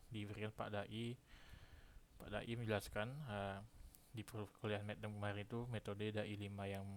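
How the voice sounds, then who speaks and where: A man in his twenties from Indonesia, a student explaining his class in a halting way. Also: on the low side, a little hiss or hum. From Pekanbaru, Indonesia. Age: 20s. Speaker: university engineering student. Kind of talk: self-introduction and lecture recap to camera.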